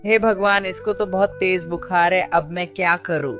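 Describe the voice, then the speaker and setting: A man's voice: high-pitched, steady tone, moderate pace, lo-fi sound, music in the background, natural voice. A man playing a complaining, fretful village elder in a cartoon story. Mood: anxious.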